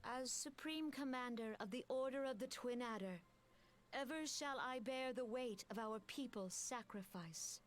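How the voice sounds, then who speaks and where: A woman's voice: on the high side, slight background noise, lightly acted. A woman voicing a grave woman commander. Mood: solemn. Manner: grave, formal and measured. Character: solemn woman commander addressing her people. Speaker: supreme military commander. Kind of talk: video game cutscene voice acting.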